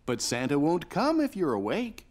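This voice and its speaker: A man playing a gentle, playful young dad in a preschool cartoon, with an expressive storybook way of talking. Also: on the high side, moderate pace. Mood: warm. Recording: music behind the voice.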